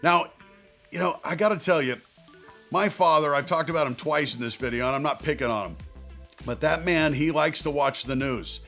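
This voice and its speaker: A man speaking straight to viewers as a financial advisor, giving advice in a conversational, emphatic way with deliberate phrasing. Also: on the high side, a bit bright, soft background music. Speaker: financial advisor and video host. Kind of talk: direct-to-viewer advice talk.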